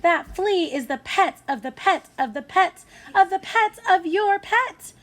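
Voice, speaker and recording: A woman voicing an exasperated mother in a storybook, stern and growing louder with each protest. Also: very high voice, animated, a little slow, pitched above the performer's own voice, full character voice. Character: exasperated mother putting her foot down.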